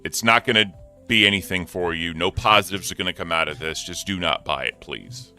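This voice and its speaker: A man with a deep voice, co-hosting a podcast in casual chat, joking and swearing freely. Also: a little fast, bright, forceful tone, soft background music. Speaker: podcast co-host.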